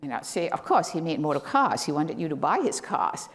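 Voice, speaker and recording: A middle-aged woman from Malaysia delivers a guest lecture in a hall, in a somewhat low voice. She explains her points patiently and rises sharply in pitch on the words she wants to stress. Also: big pitch swings, fairly quick. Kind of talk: academic lecture.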